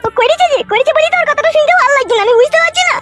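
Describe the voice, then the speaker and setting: A woman's voice: extremely high pitch, animated, very fast, loud music behind the voice. A woman voicing a jealous, heartbroken cartoon wife who nags and accuses sulkily. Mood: bitter scolding. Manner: sulky, nagging, accusing. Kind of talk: Bengali comedy cartoon dubbing.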